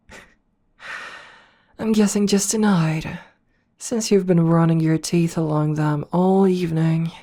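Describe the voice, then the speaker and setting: Woman playing a low, slow vampire princess. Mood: mocking. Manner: teasing, condescending, softly purring. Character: haughty seductive noble female vampire princess. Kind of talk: ASMR audio roleplay.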